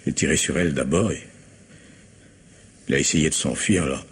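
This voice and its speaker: An actor in a French radio mystery play voicing a shaken, defeated husband who confesses haltingly to a crime of passion. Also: male voice, rumbling low, breathy edge, full character voice.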